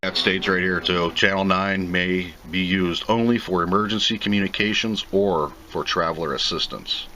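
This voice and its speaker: A man's voice: sits low, steady tone, normal speed, few pauses, pushed and bright, rough recording. A man giving a casual, plain-spoken unboxing commentary, rambling and thinking aloud as he goes. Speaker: video host reviewing a product. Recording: clear background noise.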